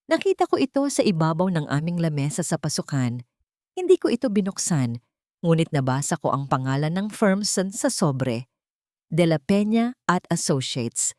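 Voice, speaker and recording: Woman, slightly low pitch, scripted dramatic first-person narration, deliberate delivery, dry sound. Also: a little mellow, dry recording. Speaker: story narrator.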